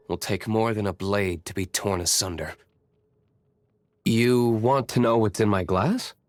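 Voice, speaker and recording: A low, brooding young nobleman warrior. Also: dry recording. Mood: aloof, measured. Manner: reserved, measured, curt, formal. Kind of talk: video game character voice lines.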